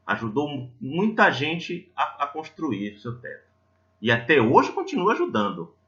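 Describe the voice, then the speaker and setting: Bright-voiced Brazilian man preaching, lo-fi sound. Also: a little high, huge pitch swings, moderate pace. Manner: didactic, conversational, poses rhetorical questions to listeners. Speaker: lay preacher at a Spiritist centre. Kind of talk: religious talk with storytelling and reflective questions.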